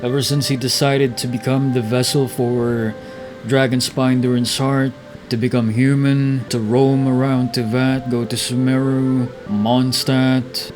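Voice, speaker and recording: A man's voice: medium pitch, a little mellow. A young man giving casual, laid-back game playthrough commentary in a musing way. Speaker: game streamer.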